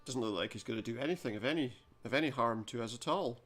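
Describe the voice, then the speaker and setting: A man's voice: medium pitch, fast, a bit bright. A man giving casual let's play commentary on a game, with dry joking asides. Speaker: gaming video host.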